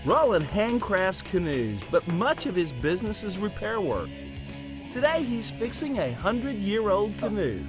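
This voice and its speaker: A man's voice: high voice, sing-song, measured pace. A man reading scripted narration for a show with a storytelling lilt. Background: background music.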